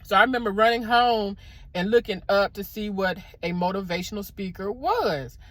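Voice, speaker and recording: A middle-aged woman with a loud, deep speaking voice, giving casual motivational talk to camera on a clip-on mic and calling her viewers y'all. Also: normal speed, no echo. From American South. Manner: warm preacherly encouragement, addresses viewers as y'all. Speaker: motivational vlogger. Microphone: clip-on microphone.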